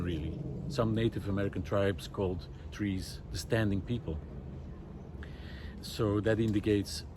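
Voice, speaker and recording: A man's voice: fairly low pitch, background noise. A man giving an unscripted, reflective monologue outdoors in a wooded park, speaking in a thoughtful, hesitant way. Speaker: vlogger.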